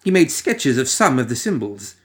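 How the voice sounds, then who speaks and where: A man reading a story as a dramatic narrator, musing theatrically with deliberate pauses and rhetorical asides. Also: medium pitch, big pitch swings. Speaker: audio fiction reader.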